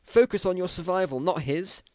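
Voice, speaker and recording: A man voicing a brash, excitable zombie-apocalypse survivor in an amateur story reading, blunt and exclamatory. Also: high-pitched, normal speed, no room sound, studio quality, voice pushed up, close to an everyday voice. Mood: urgent.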